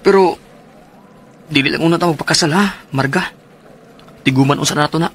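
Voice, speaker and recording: An actor with a Cebuano Filipino accent playing a hesitant, evasive suitor in a radio drama. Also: male voice, fairly high pitch, a little fast, a bit bright, breathy edge. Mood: cautious. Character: Berto, hesitant, evasive suitor worried about money.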